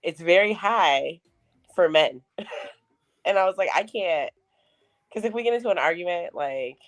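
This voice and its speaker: A woman with a low voice, co-hosting a casual podcast chat, frank and profane and quick to laugh. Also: expressive. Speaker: podcast co-host.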